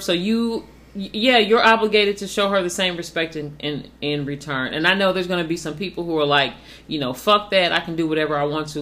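A woman's voice: lowish voice, fairly bright tone. A woman co-hosting a podcast, giving blunt, profane relationship advice in a casual, preachy way. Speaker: podcast co-host.

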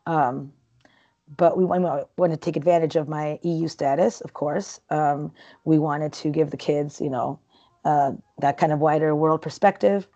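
Low-voiced chatty woman, lo-fi. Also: fairly even intonation, moderate pace, dark tone. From Peru. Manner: chatty and rambling, many fillers and restarts. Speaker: guest. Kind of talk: casual interview conversation.

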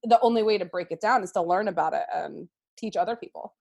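A young American woman who teaches sixth grade talks as a podcast guest. She explains earnestly in a mid-pitched, conversational voice and checks in with "right?" as she goes. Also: fast talker, vocal fry, darkish tone, slightly rough. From United States. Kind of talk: conversational interview.

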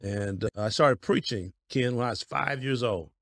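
A man's voice: medium pitch, moderate pace, no room sound, clean studio sound. A man in his fifties, a pastor from Colorado, telling stories of his life as an interview guest in a folksy way. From Denver, Colorado. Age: 50s. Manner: folksy, storytelling, laughs easily.

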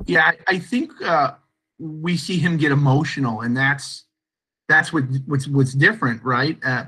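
Bright, husky-voiced man hosting a podcast. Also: fairly high pitch, measured pace, low-quality recording. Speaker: podcast host. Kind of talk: podcast interview with guests.

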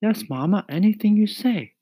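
An earnest young boy from a storybook, acted out by a man, eager and pleading. The voice is lifted very high with lively swings in pitch. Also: moderate pace, breathy edge, dry recording. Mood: happy. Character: eager earnest young boy who loves his pet.

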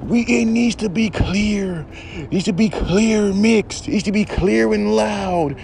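A man putting on a whiny, nitpicking male critic in a drawn-out, mocking parody voice. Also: sits high, creaky, darkish tone, slight background noise, voice pushed up.

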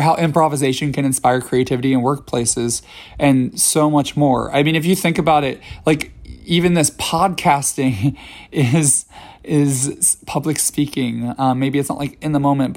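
Man, thirties, slightly hoarse, rambling podcast host, lots of fillers, mid pitch. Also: darkish tone. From New York City. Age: 30s. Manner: rambling, many fillers and restarts. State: slightly hoarse, voice still recovering. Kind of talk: casual solo podcast talk.

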